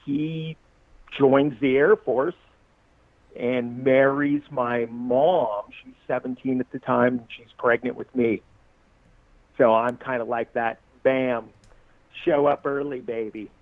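A man's voice: mid-range voice, steady tone, slow pace, dry recording. A man in his forties from Southern California, a musician guest talking in a rambling, jokey way and laughing often. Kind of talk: casual podcast interview. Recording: tinny, dry phone-like line.

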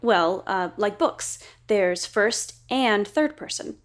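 An actress playing an eager, helpful young theatre assistant, playful and earnest, in a period audio drama. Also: female voice, mid-range voice, animated, takes it slowly. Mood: earnest, tentative.

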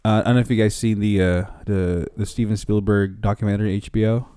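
Low-voiced man hosting a casual chat. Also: fast talker, clean tone. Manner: relaxed, joking, laughs easily.

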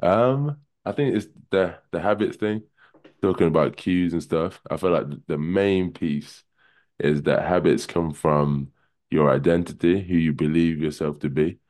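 A man with a deep voice, a podcast guest talking in a relaxed, rambling way full of fillers. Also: a little slow. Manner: relaxed, rambling, many "kinda" and "you know". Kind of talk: casual podcast chat.

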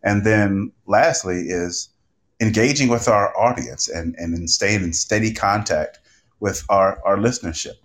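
A man's voice: lowish voice, a little slow. A man speaking as a podcaster on a panel, unscripted and emphatic, repeating phrases for effect. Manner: emphatic, rhetorical repetition.

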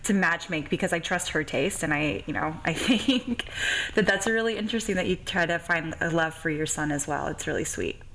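A woman's voice: on the low side, brisk, fairly bright tone. Young woman podcast host, faint background noise. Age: young adult. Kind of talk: casual interview chat.

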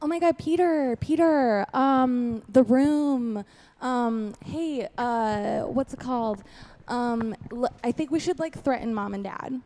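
An excitable, bossy spoiled girl, played by a woman, with a high but mellow voice. She gushes and schemes in casual teen-like chatter for a radio comedy. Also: takes it slowly. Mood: conspiratorial. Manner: gushing, casual teen-like filler-heavy talk. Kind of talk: improvised radio comedy acting.

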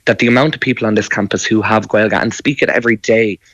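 A man's voice: fairly low pitch, steady tone, fast talker, a bit bright, slightly rough, dry recording. A young man from County Kerry with an Irish accent, speaking as a students' union officer in a radio interview, enthusiastic and earnest. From Ireland, County Kerry. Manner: enthusiastic, earnest, uses fillers like um.

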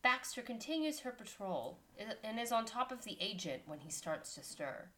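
A woman's voice: on the high side, sing-song, normal speed, fairly bright tone. A woman co-hosting a casual podcast, reading a story aloud and stopping to chat about it. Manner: reads story text, breaks off to chat and laugh.

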